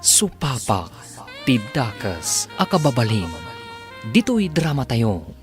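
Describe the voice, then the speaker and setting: A man's voice: medium pitch, big pitch swings, slow pace, over music. A man narrating a dramatic introduction to an audio serial.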